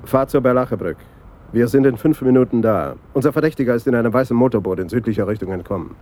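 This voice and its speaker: An actor playing a direct, matter-of-fact police detective in a radio crime drama. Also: male voice, mid-range voice, quick pace, a little mellow, soft background music, lightly acted. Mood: urgent. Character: businesslike male police detective.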